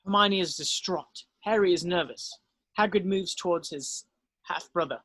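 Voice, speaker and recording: A man's voice: high-pitched, moderate pace, fairly bright tone, no echo. A man reading stage directions aloud as the narrator of a script read.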